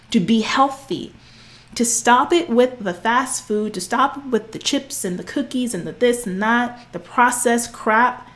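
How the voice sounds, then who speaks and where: Sassy woman in her forties, coaching. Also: on the high side. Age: 40s. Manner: sassy, preachy, calls listeners honey and girl. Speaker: fitness coach. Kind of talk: direct-to-camera coaching talk.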